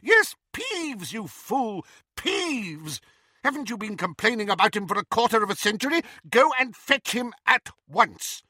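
A man voicing a stern, commanding older female professor in an audiobook, brisk and sharp in manner. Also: fairly high pitch, big pitch swings, no room sound, clean studio sound, pitched above the performer's own voice, heavily acted. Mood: exasperated.

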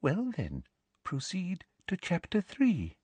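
A man voicing a prim, sugary, vindictive middle-aged female teacher in a smooth, condescending manner for a storybook. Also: mid-range voice, very animated, unhurried, dry recording, pitched above the performer's own voice. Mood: icily hushed.

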